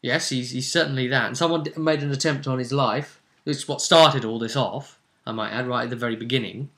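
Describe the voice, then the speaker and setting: A man's voice: mid-range voice, fairly even intonation, a little fast, fairly bright tone. A man acting as game master, casually recapping the story of a tabletop role-playing game.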